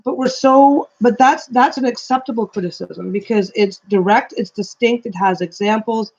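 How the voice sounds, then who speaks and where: A woman's voice: a little high, moderate pace, darkish tone. Woman, carrying voice, rambling and swearing, casual live chat, dull lo-fi audio. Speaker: co-host.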